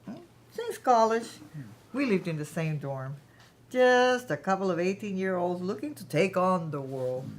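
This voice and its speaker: A woman's voice: lowish voice, lively intonation, a little slow. A woman in a staged play reading playing a gracious, shrewd company founder. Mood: confident, fond. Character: self-assured businesswoman, company founder, gracious but shrewd.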